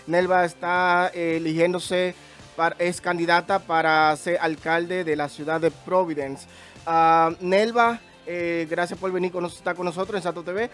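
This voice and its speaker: Brief: male bilingual host, slightly raised pitch, halting delivery, faint music bed. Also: little pitch movement, fairly bright tone. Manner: switches between Spanish and English, halting. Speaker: show host. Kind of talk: bilingual interview hosting.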